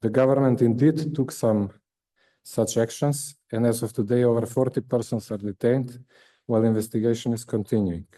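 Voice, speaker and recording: A man with a Macedonian accent moderating a formal panel, reading prepared remarks in a measured way. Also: medium pitch, fairly even intonation, dark tone. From North Macedonia.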